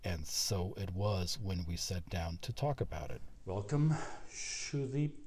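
A man hosting a conversational podcast interview, German his first language. His voice sits low with a mellow, relaxed tone. He talks in a chatty way, dropping self-deprecating asides. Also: measured pace, slight background noise. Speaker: podcast host.

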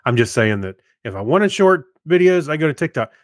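A man's voice: fairly high pitch, big pitch swings, fairly quick, no echo. Casual man with a close, dry sound. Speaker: podcast co-host. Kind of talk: casual chat.

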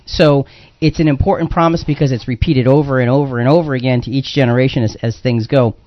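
A man's voice: mid-range voice, fairly even intonation, quick pace, dry recording. A man hosting a religious talk show in a conversational, explanatory way, repeating phrases for emphasis. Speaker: radio show host. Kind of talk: live religious talk radio discussion. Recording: dull lo-fi radio audio.

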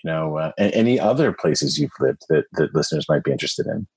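Male podcast-style interview host, deep register with a rough husky edge, asking questions conversationally. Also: animated, fast, a little mellow.

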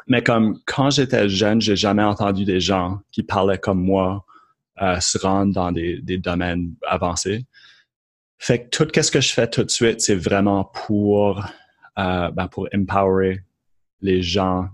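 A man with an Acadian French accent, fairly low-voiced, talks through his story with frequent restarts and fillers. He sounds earnest and conversational, like a guest on a casual interview podcast. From Moncton, New Brunswick, Canada.

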